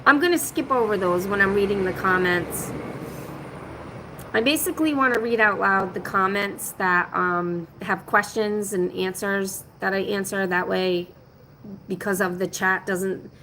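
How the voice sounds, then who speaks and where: A woman hosting a casual livestream, her voice raspy from being sick, talking hesitantly with many ums while she walks through a half-packed apartment and reads viewer comments aloud. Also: mid-range voice. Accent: New England. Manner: slow, walking through rooms listing items. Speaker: vlog host on a livestream. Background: road noise.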